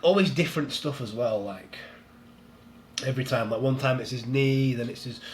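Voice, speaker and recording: Man, relaxed podcast guest, slightly nasal from a cold, faint room noise. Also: mid-range voice, moderate pace. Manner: relaxed, rambling, laughs easily. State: head cold, a bit nasal. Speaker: strongman coach. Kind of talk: casual podcast chat.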